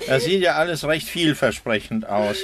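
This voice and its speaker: An actor playing a smug, courteous, menacing middle-aged doctor in an early 1960s German radio crime play. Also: male voice, a little high, expressive, moderate pace, a bit bright. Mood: smug, grandiose. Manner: suave, mock-courteous, self-satisfied. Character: smug, politely menacing mad brain surgeon, father of an adult daughter. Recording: noisy old radio drama recording.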